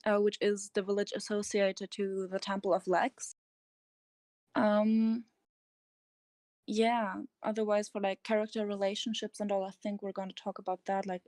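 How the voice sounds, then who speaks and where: A woman with a German accent, answering interview questions in a hesitant, tired and slightly nervous way, with many pauses and ums. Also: medium pitch, a little mellow, no echo. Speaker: interview guest. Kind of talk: casual interview over voice chat.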